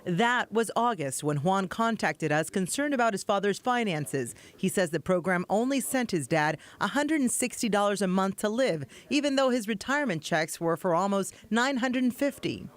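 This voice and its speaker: A woman's voice: medium pitch, animated, moderate pace, few pauses. A woman working as a TV investigative reporter, narrating a scripted news package.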